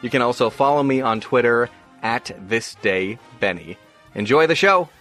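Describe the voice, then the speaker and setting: A man's voice: mid-range voice, moderate pace, fairly bright tone. A man hosting a podcast, casually introducing an old radio episode. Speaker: podcast host. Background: music.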